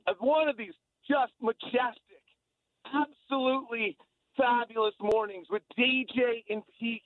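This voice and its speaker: An American man, a sports broadcaster guesting on radio, chatting casually in an effusive, rambling way. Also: very high voice. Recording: thin, phone-like line.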